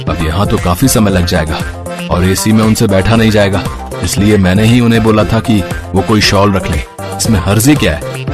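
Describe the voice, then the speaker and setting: A man's voice: on the low side, moderate pace, natural voice. A man playing an earnest young husband in a cartoon moral story, reasoning reproachfully and protective of his family. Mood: defensive. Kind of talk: acted dialogue in a Hindi moral story cartoon. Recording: voice over prominent music.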